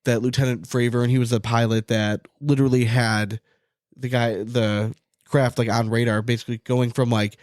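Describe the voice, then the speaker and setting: A man's voice: mid-range voice, normal speed, no room sound. Casual North American man with dry, close sound. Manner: profane, animated storytelling with frequent "like". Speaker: podcast co-host. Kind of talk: casual podcast chat.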